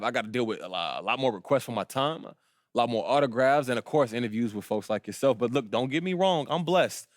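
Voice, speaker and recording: A man's voice: medium pitch, no room sound, clean studio sound, close to an everyday voice. A man playing a confident young pro basketball rookie in a video game, talking in a casual, slangy, self-assured way. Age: young adult.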